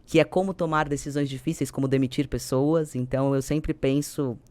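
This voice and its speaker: A woman of around forty with a Brazilian accent, telling her story conversationally as an interview guest, expressive and fond of tag questions. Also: a bass voice, brisk, no echo. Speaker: podcast guest, company executive.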